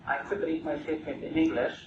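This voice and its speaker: A man's voice: a little high, fairly even intonation, a little fast, dull audio. A man from the Palestinian territories with an Arabic accent, giving a formal witness statement to a tribunal. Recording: echoey courtroom, from a distance, with background hum.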